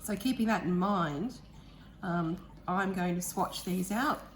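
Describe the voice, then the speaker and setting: A woman with a croaky voice, chatting casually and eagerly about art supplies as she unboxes them, with the odd um. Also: lowish voice, unhurried, slight background noise. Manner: chatty, enthusiastic, thinking aloud with ums. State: croaky voice, clearing throat. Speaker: art vlogger.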